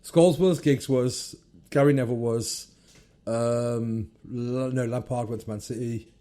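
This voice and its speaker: A man around thirty, co-hosting a casual podcast chat, loud and blunt, swearing freely. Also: mid-range voice, steady tone, measured pace. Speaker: podcast co-host. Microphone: studio mic without headphones.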